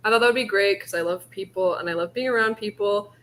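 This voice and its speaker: A woman's voice: medium pitch, fast talker, fairly bright tone, a little hiss or hum. A young woman in her twenties from Utah, a law student intern talking on a panel in an upbeat, talkative way. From Utah, United States. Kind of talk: panel chat.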